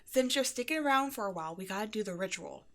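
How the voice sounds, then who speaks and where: A woman's voice: medium pitch, expressive, fast, pushed and bright. Woman acting breezy, chatty occult shop owner. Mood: breezy, reassuring. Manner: chatty, breezy, offhand about eerie things. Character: upbeat, quirky young woman running a mysterious occult shop. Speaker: shop owner character speaking to a new employee. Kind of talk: ASMR roleplay, casual one-sided conversation.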